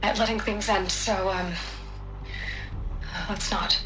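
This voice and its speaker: An actress playing a selfless woman scientist in a video game, gentle, resigned and steadfast, speaking a little haltingly. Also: mid-range voice, steady tone, echoey room, soft background music, rough recording. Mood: tender, resigned. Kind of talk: video game dramatic acting.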